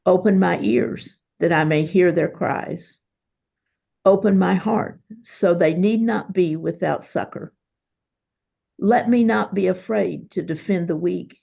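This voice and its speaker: Woman, religious sister, prayer reading, slow, heavily gravelly and mellow voice. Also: on the low side. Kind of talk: slow read-aloud prayer.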